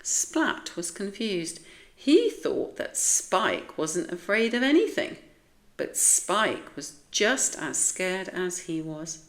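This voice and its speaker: British female narrator for a picture book, slightly low voice, very measured pace, expressive. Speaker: storyteller reading aloud. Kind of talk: expressive scripted children's story narration.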